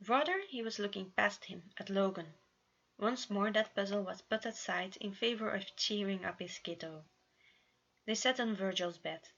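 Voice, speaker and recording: Bright-voiced woman reading a story. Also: medium pitch, moderate pace, dull audio, slight background noise. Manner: stumbles over words and corrects herself. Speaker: fan fiction reader. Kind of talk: amateur storybook narration.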